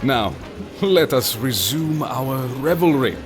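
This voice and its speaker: An actor playing an urbane, menacing male captain of a pleasure ship in an audio drama, speaking in a deliberate, theatrical way. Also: mid-range voice, animated. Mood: jovial hosting. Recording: music behind the voice. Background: music.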